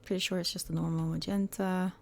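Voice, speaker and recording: A woman hosting her gaming channel in casual, rambling commentary, her throat hoarse and croaky today, often hesitating and correcting herself. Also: lowish voice, little pitch movement, fast, a little mellow.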